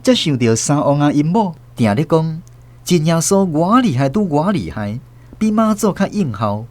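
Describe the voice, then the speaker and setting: A man's voice: a little high, very animated, moderate pace, a little mellow. A man with a Taiwanese accent narrating a scripted radio drama as a dramatic storyteller.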